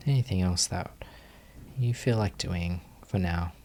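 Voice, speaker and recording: A man leading a guided meditation as a teacher, giving gentle instructions with long pauses. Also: low voice, a little hiss or hum.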